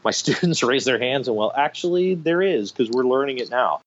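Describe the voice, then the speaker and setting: A man's voice: medium pitch, lively intonation, fairly quick, lo-fi sound, slight background noise. A middle-aged man telling anecdotes enthusiastically as an interview guest, in a conversational storytelling style. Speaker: guest, radio theater director.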